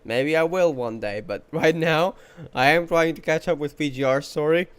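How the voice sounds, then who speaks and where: A man's voice: fairly high pitch, normal speed, smooth. A man streaming a game playthrough, chatting casually with viewers and teasingly talking back to the characters.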